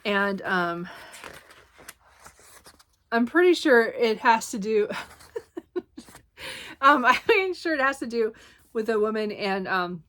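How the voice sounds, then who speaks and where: A woman chatting casually about her new books, rambling with many ums and self-corrections, a little hoarse and clearing her throat. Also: fairly high pitch, very animated, slow, pauses often, a bit bright. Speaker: book vlogger. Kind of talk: casual book haul chat.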